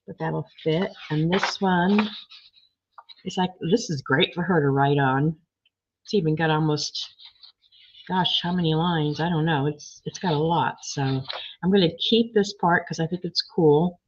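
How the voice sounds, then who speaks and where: A woman with a slightly froggy, hoarse voice, chatting casually as she works on a craft project and reads viewer comments. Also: sits low, a little slow. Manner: chatty, thinking aloud while working, reading comments. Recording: dull lo-fi sound.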